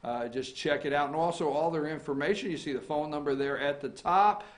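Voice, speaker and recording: A man's voice: fairly high pitch, fairly quick. Teasing male morning-show host. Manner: teasing, banters and interrupts. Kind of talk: casual morning show chat.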